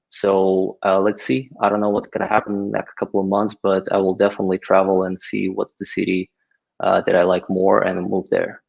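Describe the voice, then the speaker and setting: A man's voice: fairly low pitch, gravelly. A man, a podcast guest answering casually with many fillers. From Ukraine. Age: 30s. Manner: hesitant, many filler words. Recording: dull lo-fi audio.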